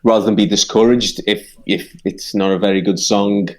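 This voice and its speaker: A man's voice: on the low side, fairly even intonation, normal speed, a little mellow. A man with a Liverpool accent, a songwriter co-hosting a podcast, talking earnestly and repeating himself as he finds his words. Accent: Liverpool English. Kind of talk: casual chat.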